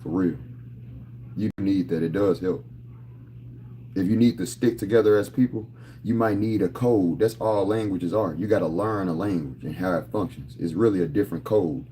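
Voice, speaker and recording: A man's voice: low voice, normal speed, dark tone, slight background noise. A man in his thirties talking casually to camera, boastful and rambling, full of street slang. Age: 30s. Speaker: vlogger.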